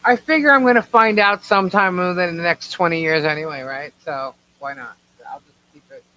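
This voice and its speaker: A man's voice: high-pitched, brisk, pushed and bright, low-quality recording. A man chatting casually as a co-host on a live stream. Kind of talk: casual chat. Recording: clear background noise.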